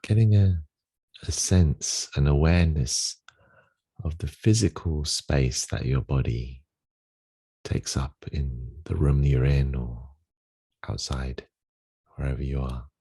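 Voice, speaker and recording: Very deep-voiced man guiding meditation, unhurried. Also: husky. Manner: gentle, unhurried instruction with long pauses. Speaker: meditation guide. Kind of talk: guided meditation.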